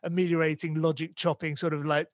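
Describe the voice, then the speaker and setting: A man with a slightly higher-pitched voice co-hosts a podcast. He speaks in a wry, articulate and opinionated way. The sound is dry and close. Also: little pitch movement, quick pace, no room sound. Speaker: guest co-host. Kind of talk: casual podcast chat.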